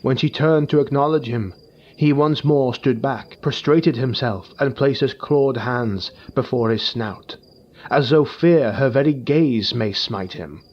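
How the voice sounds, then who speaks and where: A man narrating a dark fantasy audiobook in a slow, hushed, grave manner. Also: mid-range voice. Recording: muffled with faint music.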